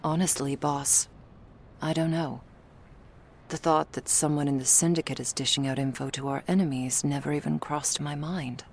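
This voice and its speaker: A woman's voice: low voice, fairly even intonation, moderate pace, a little mellow, a little hiss or hum. A woman playing a loyal, wary female vampire underling in an ASMR roleplay, frank but deferential toward her boss. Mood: earnest.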